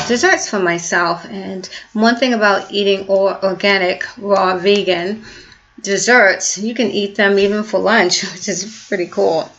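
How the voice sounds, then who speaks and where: Woman, 50s, wellness coach vlogging, chatty and loose, mid-pitched voice, dull lo-fi recording. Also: a little hiss or hum. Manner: warm, chatty, many fillers and restarts. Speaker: health and purpose coach. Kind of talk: casual talking-to-camera vlog.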